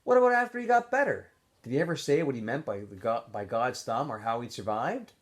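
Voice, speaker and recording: A man's voice: medium pitch, very animated, a little fast, lightly acted. A man voicing a curious young boy from a storybook, eager and questioning.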